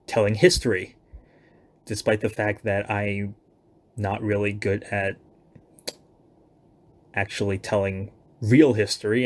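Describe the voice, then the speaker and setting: A man's voice: mid-range voice, darkish tone. A young man giving a casual, loosely planned announcement of his own writing projects, with self-deprecating, hedging asides. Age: young adult.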